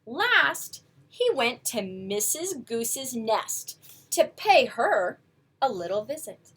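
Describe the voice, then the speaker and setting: Slow, expressive woman reading to children. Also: on the high side, husky. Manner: expressive, coaxing asides to young listeners. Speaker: children's storyteller. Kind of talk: read-aloud picture book storytelling for young children.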